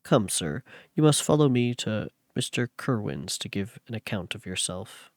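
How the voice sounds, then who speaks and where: A man voicing a gruff Irish townsman who confronts a stranger, reading his lines in a stern, plain manner a little deeper than his own voice. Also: big pitch swings, moderate pace, no room sound, clean studio sound.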